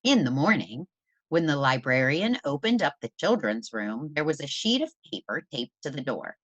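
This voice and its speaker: A woman reading a children's story aloud as a teacher would, in an expressive, deliberate manner for young listeners. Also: low voice, husky, no room sound, lo-fi sound.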